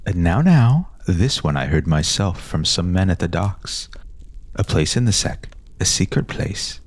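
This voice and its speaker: A man's voice: low voice, very animated, unhurried, pitched above the performer's own voice, heavily acted. Man voicing a pompous, bossy boy ringleader. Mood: secretive, boastful. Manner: pompous, formal, insistent. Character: bossy, grandiose older boy pushing oaths on friends.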